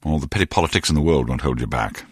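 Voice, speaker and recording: An actor playing a seasoned, wry older spy and mentor in a video game, with dry, needling humor. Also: male voice, very deep voice, quick pace, slightly rough, no echo. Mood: stern, fatherly.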